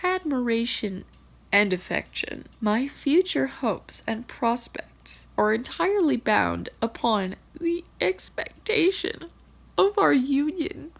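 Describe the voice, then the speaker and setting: Young gentleman scientist from a storybook, voiced by a woman, melodramatic, formal, declamatory, creaky. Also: sits high, very animated, slow pace, pitched above the performer's own voice, exaggerated voice. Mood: lovestruck, solemn.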